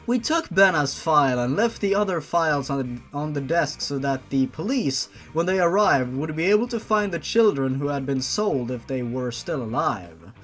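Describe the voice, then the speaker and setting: A man reading fan fiction aloud and breaking off for exasperated, mocking, sarcastic asides. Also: on the high side. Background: background music.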